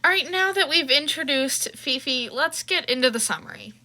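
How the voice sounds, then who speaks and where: A woman narrating fan fiction in an expressive storytelling style. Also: sits high, moderate pace.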